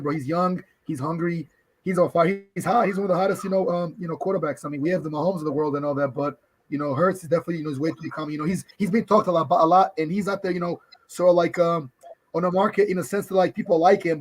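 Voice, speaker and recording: A hoarse man who is losing his voice, a podcast guest in casual chat who rambles and says "you know" a lot. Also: fairly high pitch, rough recording.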